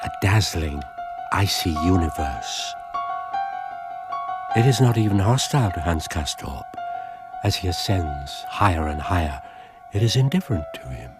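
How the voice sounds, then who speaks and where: An actor narrating a radio drama as a grave, measured storyteller. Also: male voice, sits low, sing-song, over loud music. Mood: hushed gravity.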